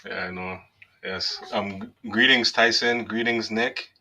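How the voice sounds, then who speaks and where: Forties Canadian man, very bright sharp tone, jokey guest. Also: on the low side, steady tone, measured pace. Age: 40s. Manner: joking, affectionate, loose. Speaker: guest on a live stream. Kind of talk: casual chat.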